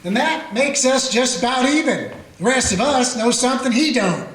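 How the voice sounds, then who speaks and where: A man's voice: very high-pitched, animated, moderate pace, fairly bright tone, slight background noise. A man voicing a weary small-town sheriff who narrates and questions a prisoner with folksy, dry humor. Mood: musing. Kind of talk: radio play narration and acting. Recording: echoey room with faint music.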